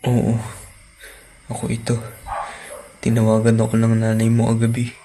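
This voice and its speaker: A man's voice: mid-range voice, completely flat delivery, normal speed, music in the background, close to an everyday voice. A man playing a worried, caring young boyfriend in an amateur radio drama. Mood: grave, concerned. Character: worried, caring young male ex-boyfriend breaking bad news.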